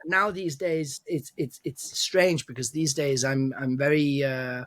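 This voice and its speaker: A middle-pitched man from Italy chats in a halting, restart-filled way, laughing now and then. His voice sounds close and dry, with almost no room around it. He is a guest answering questions. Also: steady tone, fairly bright tone, no room sound. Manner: hesitant, many restarts and fillers, laughs often. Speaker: guest DJ and producer. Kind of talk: casual interview chat.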